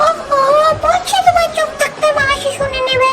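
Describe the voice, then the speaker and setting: Doll soap wife: devoted, chatty, singsong, squeakily high voice. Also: female voice, fairly even intonation, normal speed. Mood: coyly scolding. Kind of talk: amateur doll-drama acting. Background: loud music.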